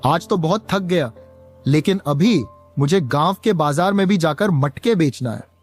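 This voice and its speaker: A man's voice: on the high side, animated, unhurried, soft background music. A man voicing a poor, patient village pot seller and father in a cartoon, earnest and plaintive. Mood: thoughtful. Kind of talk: Hindi cartoon story dialogue.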